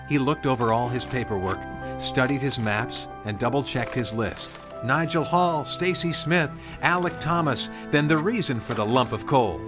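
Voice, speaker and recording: A man reading scripted storybook narration of a Christmas tale. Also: mid-range voice. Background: music.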